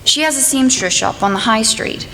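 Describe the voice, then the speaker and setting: A woman's voice: fairly high pitch, moderate pace, bright, forceful tone. A woman playing a bossy, grumbling housekeeper at a village inn in a radio play, with a brusque manner. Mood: matter-of-fact. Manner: brusque, scolding. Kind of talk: amateur radio play acting. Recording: echoey hall, some background noise.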